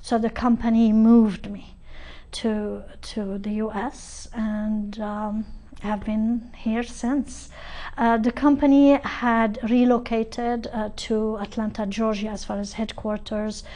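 A middle-aged woman from Lebanon, a guest in a TV studio interview, speaking slowly with many pauses and ums. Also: a little high, flat delivery, mellow. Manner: slow and halting, many ums. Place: TV studio set.

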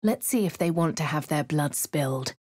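A woman with a posh English accent speaking a game hero's lines and first-person narration in a measured way. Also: low-pitched, lively intonation, darkish tone, breathy edge, dry recording, studio quality. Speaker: player character voice. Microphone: studio mic.

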